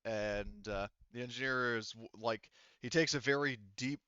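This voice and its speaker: A man's voice: mid-range voice, moderate pace, bright, forceful tone, clean tone, no echo, highs cut off. A man running a tabletop game as game master, improvising narration and hesitantly searching for his words.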